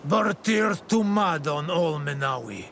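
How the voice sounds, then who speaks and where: An actor playing a proud, protective island fighter in a fantasy game, speaking firmly and plainly. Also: male voice, fairly high pitch, animated, unhurried, gravelly, a bit bright, a little hiss or hum, exaggerated voice. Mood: reassuring, grateful. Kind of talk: fantasy video game acting.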